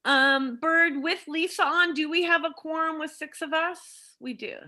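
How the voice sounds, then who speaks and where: Bright, higher-pitched woman chairing. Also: moderate pace. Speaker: meeting chair. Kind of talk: informal running of a public meeting. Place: online video meeting. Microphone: video call.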